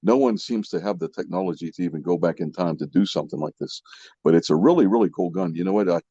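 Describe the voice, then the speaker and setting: A man with a low, rough-edged voice chats as a podcast co-host. His manner is folksy and enthusiastic. The audio is dull and muffled. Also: expressive, quick pace, mellow, no room sound. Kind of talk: casual chat about vintage toys.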